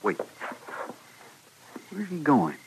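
Wildly animated, scheming Western townsman. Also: on the high side, drawn-out words, heavily acted. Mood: furtive, scheming. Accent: American rural Western. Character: scheming, reward-hungry small-town Western man. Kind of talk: 1950s radio western acting. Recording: noisy vintage radio recording.

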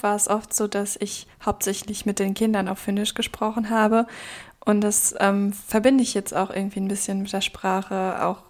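Young female podcast co-host, raised bilingual in German and Russian, calm level delivery, thinks aloud with many fillers. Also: mid-range voice. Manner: thoughtful, many hesitant fillers. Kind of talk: casual conversation.

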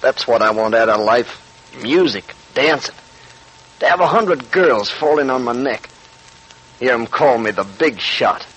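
A man's voice: medium pitch, animated, a little slow, fairly bright tone, husky. A man playing a swaggering, boastful gangster bank robber in a 1940s radio crime drama, talking in emphatic tough-guy slang. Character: cocky, swaggering male gangster bank robber craving money and nightlife. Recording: noisy vintage 1940s radio recording.

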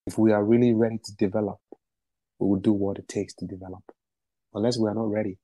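A man's voice: lowish voice, level tone, quick pace, warm tone, no echo, rough recording. A man from Ghana, a musician and activist, answering interview questions in a passionate, emphatic way and repeating phrases for stress.

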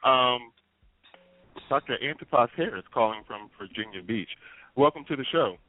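A man's voice: medium pitch, animated, normal speed, no room sound. A man casually hosting a live call-in talk show over a conference call. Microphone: phone conference call. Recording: noisy, narrow phone-like sound with clicks.

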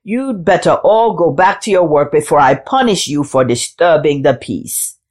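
A woman's voice: low-pitched, expressive, moderate pace, a little mellow. A woman voicing a stern, dismissive male village chief in a folk tale, grumbling as he speaks.

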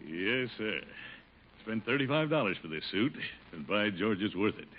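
An actor playing a pompous, jovial middle-aged uncle in an old radio comedy, hearty and self-satisfied. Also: male voice, on the low side, big pitch swings, moderate pace, faint music behind. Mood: pleased, boastful. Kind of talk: 1950 radio comedy acting. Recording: dull old radio broadcast, faint noise.

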